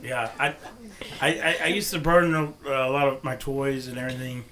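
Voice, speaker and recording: A man in his thirties hosting a casual family chat, easygoing and joking as he asks quick questions. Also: mid-range voice, fairly even intonation, a crowd in the background. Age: 30s. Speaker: vlog host.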